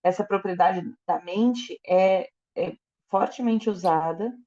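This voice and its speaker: Woman, interpreter, mellow voice, hesitant with fillers, dull muffled recording. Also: medium pitch, slow pace. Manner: hesitant, with fillers and self-corrections. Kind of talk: consecutive interpreting into Portuguese.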